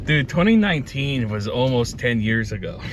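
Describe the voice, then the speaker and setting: A man's voice: medium pitch, sing-song, moderate pace, a little hiss or hum, rough recording. A man chatting casually as a podcast co-host, circling back over his words as he reasons aloud.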